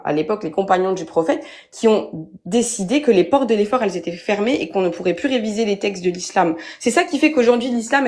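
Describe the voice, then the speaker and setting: A woman's voice: mid-range voice, darkish tone. A young woman from France, calling into a live stream and arguing her view with many restarts and fillers. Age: young adult. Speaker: guest caller. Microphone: phone app call.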